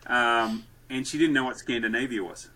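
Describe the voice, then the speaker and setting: A man guesting on a podcast, telling stories and dad jokes loudly in a rambling, lively way. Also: mid-range voice, moderate pace, crowd noise, poor audio. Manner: loud, joke-telling, rambling. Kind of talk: lively conversational storytelling.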